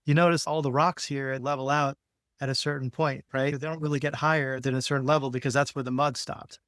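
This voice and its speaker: A man explaining things casually, in an informal, halting way as he thinks aloud. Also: medium pitch, flat delivery, a bit bright, dry recording, clean studio sound. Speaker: podcast co-host.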